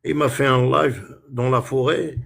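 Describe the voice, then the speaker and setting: Husky man ranting on a live stream. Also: medium pitch, steady tone, a little slow, fairly bright tone. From France. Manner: indignant, repetitive, switching into Arabic words. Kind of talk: heated casual live-stream rant.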